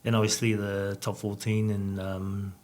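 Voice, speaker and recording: Calm New man, measured answers. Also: lowish voice. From New Zealand. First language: Samoan. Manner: measured, calm, many hesitant uhs. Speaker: rugby coach, guest. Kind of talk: interview answers.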